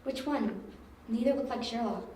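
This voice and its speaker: A woman's voice: fairly high pitch, moderate pace, mellow, lightly acted. A woman playing an impatient young stakeout partner in an amateur audio drama, questioning and skeptical. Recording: echoey room, distant mic, faint noise.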